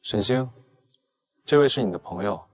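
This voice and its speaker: Man as a low-voiced, composed male professor. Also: expressive, moderate pace. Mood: polite. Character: smooth, composed male psychology professor, educated abroad. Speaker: psychology professor. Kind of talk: audio drama acting for an animated story.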